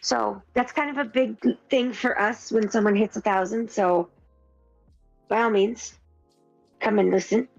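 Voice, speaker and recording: A woman co-hosting a casual podcast, halting with many fillers and quick to laugh. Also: medium pitch, steady tone, gravelly. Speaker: podcast co-host. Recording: dull lo-fi sound with faint music.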